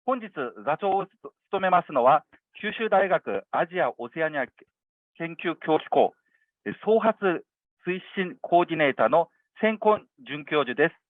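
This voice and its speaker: A man giving a rapid, polite, formal opening announcement for a seminar. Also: fairly high pitch, animated, no room sound. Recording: tinny phone-like line, dry.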